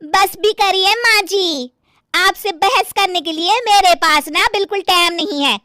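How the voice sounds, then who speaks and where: A woman voicing a fussy, coy young village daughter-in-law in an animated story, with drawn-out, whiny delivery. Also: very high-pitched, no echo, studio quality, full character voice. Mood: petulant, scornful. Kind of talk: Hindi animated moral story acting.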